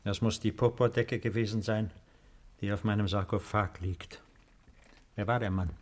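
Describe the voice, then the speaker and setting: An actor playing a solemn, secretive, self-important man with a husky voice in a 1950s German radio drama. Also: on the low side, fairly even intonation, moderate pace, lightly acted. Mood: lofty, grave.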